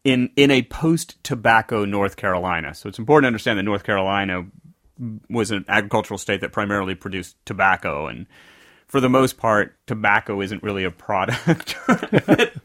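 Low-voiced man chatting on a dry mic. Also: animated, a little fast, a bit bright, no echo. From American South. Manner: rambling, self-correcting storyteller. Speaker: podcast co-host. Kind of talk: casual conversational podcast chat.

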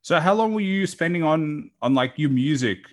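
A man's voice: on the high side, animated, normal speed. A man hosting a casual interview, asking informal, wordy questions peppered with "like". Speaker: podcast host interviewing a guest.

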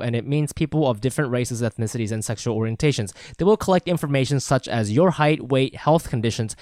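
A man's voice: mid-range voice, a little mellow, no echo, studio quality. A man, a podcast host who chats casually, laughs often and reads sponsor ads with a joking, breezy manner.

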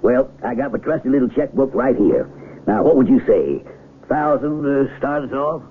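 Man acting a hearty, animated American tourist. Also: mid-range voice, moderate pace, natural voice. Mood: hearty, boastful. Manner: hearty, folksy. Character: folksy, blustery rich American tourist husband. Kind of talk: 1970s radio drama acting. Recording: tinny, noisy old radio recording.

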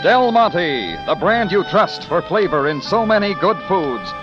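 High-voiced vintage American radio announcer. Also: male voice, big pitch swings, measured pace, loud music behind the voice. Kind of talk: 1950s radio sponsor announcing. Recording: dull vintage radio recording.

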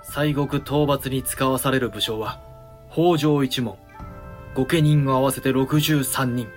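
Male actor as a stern shogunate regent, medium pitch, formal, firm, period drama, music clearly behind. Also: steady tone, close to an everyday voice. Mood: proclaiming. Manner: formal, firm, measured authority. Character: sober, authoritative samurai regent of the shogunate. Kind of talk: voice drama acting in period Japanese.

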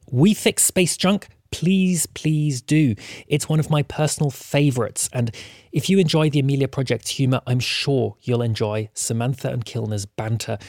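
A man's voice: medium pitch, creaky, a little mellow, no room sound. Dry-sounding man introducing a podcast. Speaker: podcast creator introducing the episode.

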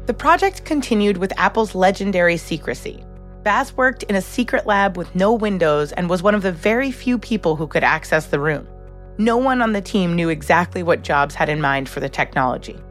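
A woman's voice: fairly low pitch, expressive, fairly quick, faint music behind. A woman hosting a documentary podcast, reading scripted narration in a polished storytelling format.

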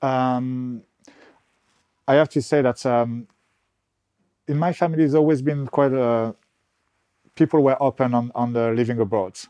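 A man from France with a French accent, speaking in a podcast interview as a real estate agent, pausing often and restarting his sentences. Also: medium pitch, fairly even intonation, mellow, clear, resonant tone. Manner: halting, many restarts and fillers. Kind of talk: conversational interview.

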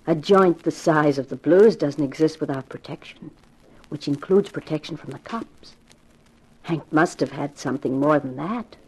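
A woman's voice: low voice, normal speed, breathy edge, rough recording. An actress playing a worldly, alluring club woman, intimate and knowing, in a 1950s radio crime drama. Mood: shrewd, knowing.

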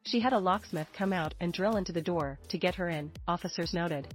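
A woman's voice: on the low side, brisk. A woman narrating scripted celebrity gossip news as the reader of the report. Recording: music bed under the voice. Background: background music.

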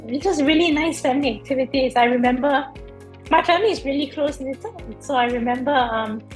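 Young woman guest, higher voice, thoughtful answers, faint music behind. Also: poor audio. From Singapore. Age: young adult. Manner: thoughtful, hesitant with fillers. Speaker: Paralympic swimmer, guest. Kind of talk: casual interview answers.

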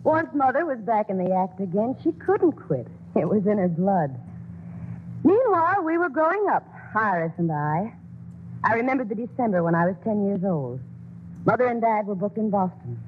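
An actress playing a grown daughter fondly narrating childhood memories in a 1940s radio drama. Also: female voice, medium pitch, big pitch swings, moderate pace, close to an everyday voice. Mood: fondly nostalgic. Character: fond grown daughter recalling her childhood. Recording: tinny, noisy old radio recording.

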